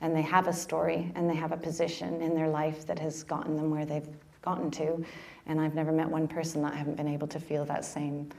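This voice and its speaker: A woman's voice: low-pitched, completely flat delivery, a little fast, gravelly, warm tone. A woman speaking earnestly as a panelist in a discussion.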